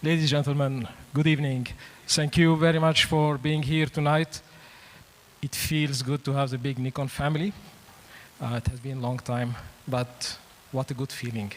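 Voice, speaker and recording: A man with an Arabic accent, a native Arabic speaker, giving a product presentation as a company manager with deliberate, halting phrasing. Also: mid-range voice, fairly even intonation. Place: large event hall. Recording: echoing large hall.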